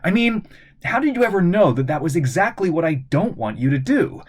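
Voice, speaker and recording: A man acts out a clever young teen boy from a children's spy story. He sounds high-pitched and quick. His manner is eager and cheeky, with bold emphasis. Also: very animated, darkish tone, slightly rough, exaggerated voice. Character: clever, cheeky thirteen-year-old boy hero.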